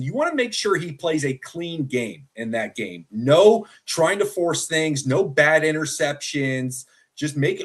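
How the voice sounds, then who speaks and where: A man co-hosting a casual sports chat, steady and giving clear advice. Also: on the high side. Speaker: podcast co-host.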